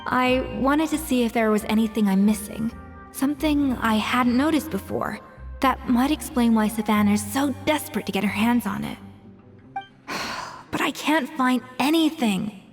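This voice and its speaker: A woman's voice: a little high, normal speed, lightly acted. A woman voicing a proud, exacting but caring master chef in a video game, composed and articulate. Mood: troubled, reflective. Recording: reverberant, background music.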